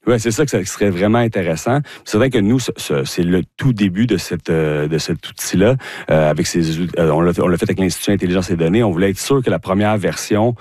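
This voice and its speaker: Man, Quebec French accent, very low voice, dry close sound, earnest, stop-start explaining, middle-aged academic. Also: a little mellow, no echo. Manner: earnest, halting, full of restarts while explaining. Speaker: university political science professor. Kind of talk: radio interview guest explaining research.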